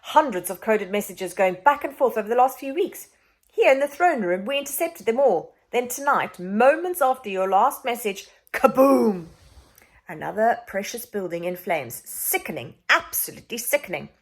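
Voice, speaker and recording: A woman's voice: a little high, sing-song, normal speed, pitched above the performer's own voice. A woman voicing a scheming male royal adviser in a children's story, formal, pointed and sanctimonious. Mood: accusing, disgusted. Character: smooth, insinuating male Lord Protector, scheming royal adviser.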